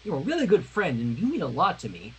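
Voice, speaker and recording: A man's voice: on the high side, huge pitch swings, fast, fairly bright tone, slightly rough, exaggerated voice, rough recording. A man voicing a flustered young pony princess in human form, rambling anxiously and earnestly in a dramatic fanfiction reading. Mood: nervous, earnest. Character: flustered young female pony princess turned human, nervously confessing love.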